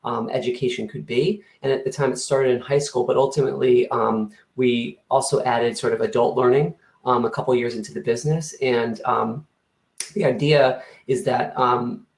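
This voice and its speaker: Earnest, gravelly man in an echoey room. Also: medium pitch, steady tone, moderate pace. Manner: earnest, many fillers and restarts. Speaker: company co-founder, panelist. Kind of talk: online panel discussion.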